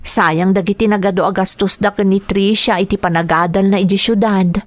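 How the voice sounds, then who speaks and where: A woman's voice: mid-range voice, fairly even intonation, a little fast, no echo, natural voice. A woman acting as a dutiful, earnest young daughter in an Ilocano radio drama, speaking with a Filipino accent. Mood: concerned, sympathetic. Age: young adult.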